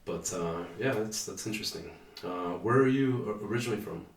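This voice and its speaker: A man interviewing a guest, asking hesitant, halting questions full of fillers. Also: fairly low pitch. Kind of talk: casual interview conversation. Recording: echoey room, distant mic.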